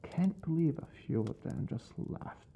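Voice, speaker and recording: Man as aloof male vampire lord, brooding, secretly caring, soft breathy ASMR delivery, dark mellow tone, gravelly edges. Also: a little high, expressive, unhurried, muffled recording, a little hiss or hum. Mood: conflicted musing. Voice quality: soft, breathy, often dropping to a whisper. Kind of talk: ASMR roleplay, intimate dramatic monologue.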